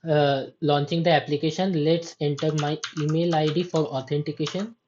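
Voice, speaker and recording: A woman demonstrating her software in a slow, explanatory way, often restarting her sentences. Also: deep voice, fairly even intonation, muffled recording, a little hiss or hum. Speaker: app developer giving a demo. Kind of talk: screen-recorded software walkthrough.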